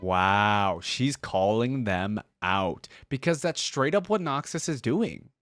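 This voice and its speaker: A man's voice: on the low side, animated, slow pace, fairly bright tone, no echo, studio quality, soft background music. Enthusiastic man giving casual reaction commentary. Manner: enthusiastic, musing aloud. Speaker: YouTube reaction host.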